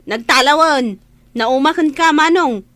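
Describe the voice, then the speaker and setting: A woman's voice: high voice, a little slow, fairly bright tone, dry recording. A woman with a Filipino accent playing a scolding younger sister in a radio drama, reproaching her brother. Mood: reproachful. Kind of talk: Ilocano radio drama acting.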